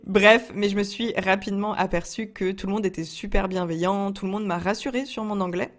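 A woman's voice: mid-range voice, steady tone, a little fast. A young woman from France telling a personal story in a chatty way, with many fillers and restarts. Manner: chatty, frequent fillers and restarts, laughs often. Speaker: entrepreneur vlogger.

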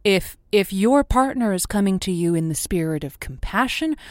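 An actress playing a probing, sympathetic woman who hosts a radio advice show in an audio drama, coaxing callers in halting, folksy phrases. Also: medium pitch, lively intonation, darkish tone, no room sound, studio quality, close to an everyday voice. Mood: cautious, probing. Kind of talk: semi-improvised call-in show drama.

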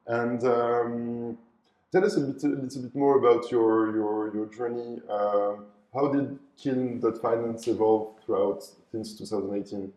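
A man hosting a podcast, asking a guest relaxed questions in an upbeat, friendly way. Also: mid-range voice, a little slow. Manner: upbeat, scripted intro then relaxed questions. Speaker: podcast host. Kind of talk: podcast intro and interviewing. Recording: roomy echo with faint music.

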